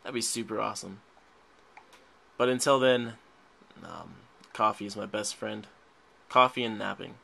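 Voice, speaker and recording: A man's voice: fairly low pitch, measured pace, gravelly, pauses often, sharp tone. A young man chatting casually while playing a mobile game, rambling and laughing a lot, a little sick. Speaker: gaming channel host. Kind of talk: casual let's play commentary. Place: indoors at a desk, filming a tablet.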